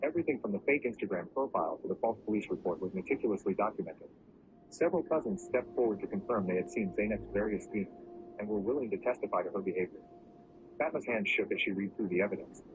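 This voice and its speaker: A man narrating a first-person story in a steady, even storytelling delivery. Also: fairly low pitch, fast talker. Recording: muffled lo-fi recording with clear background noise.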